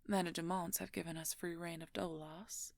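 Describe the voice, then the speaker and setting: A woman playing a smug, possessive female werewolf in an audio roleplay, murmuring her condescending threats in a breathy, often whispered voice. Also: lowish voice, steady tone, dry recording. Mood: gloating. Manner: slow, smug, condescending, drawn-out menace. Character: menacing, possessive female werewolf, former court mage. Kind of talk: audio roleplay monologue addressed to the listener.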